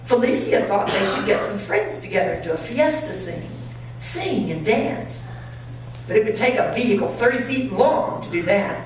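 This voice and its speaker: A woman's voice: medium pitch, animated, moderate pace. Echoey, distant woman reading a story aloud. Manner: dramatic reading, acting out dialogue. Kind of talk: expressive scripted story narration. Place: church sanctuary. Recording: very echoey hall, distant, faint noise.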